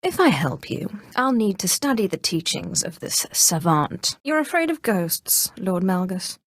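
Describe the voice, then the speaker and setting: A woman's voice: on the low side, very animated, a little slow, no room sound, studio quality, heavily acted. A woman voicing an imperious, haughty Sith sorceress in a video game, speaking in a commanding, controlled and theatrical way with cool menace. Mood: haughty, assured. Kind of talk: scripted video game voice acting.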